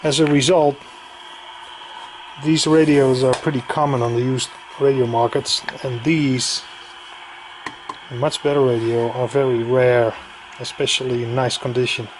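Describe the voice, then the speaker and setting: Male hobbyist demonstrator, mid-range voice, informal and slightly halting, some background noise. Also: medium pitch, flat delivery, poor audio. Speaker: radio enthusiast presenting equipment. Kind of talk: casual hands-on demonstration narration.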